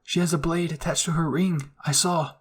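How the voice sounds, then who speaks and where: A man's voice: on the high side, steady tone, normal speed, husky. A man voicing a stern, protective young woman soldier in an anime story. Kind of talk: anime fan-fiction roleplay.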